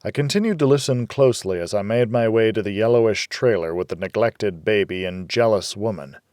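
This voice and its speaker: Low-pitched man reading wry noir narration. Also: fairly quick, a little mellow, no echo, clean studio sound. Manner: wry, world-weary. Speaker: audiobook narrator. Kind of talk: first-person hardboiled noir narration.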